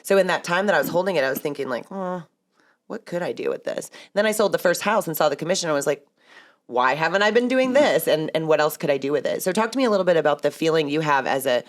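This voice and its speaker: A woman's voice: low voice, lively intonation, quick pace. A woman in her thirties hosting a casual podcast chat, chatty and laughing often as she talks real estate.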